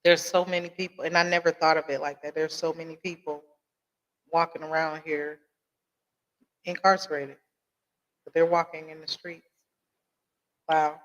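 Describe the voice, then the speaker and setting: A man hosts a podcast interview, reacting to his guest with short affirmations and asking follow-up questions. His voice sits a little higher than average for a man. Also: level tone, moderate pace, long pauses, fairly bright tone, low-quality recording. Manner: responsive, lots of short affirmations. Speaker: podcast host.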